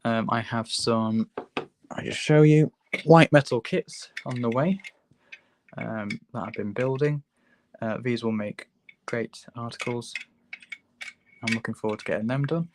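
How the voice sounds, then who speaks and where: A man with a hoarse sore throat, hosting a relaxed, chatty livestream tutorial while building a model kit at his workbench. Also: mid-range voice, a little slow, pauses often, a little hiss or hum. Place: hobby workbench, filmed from overhead.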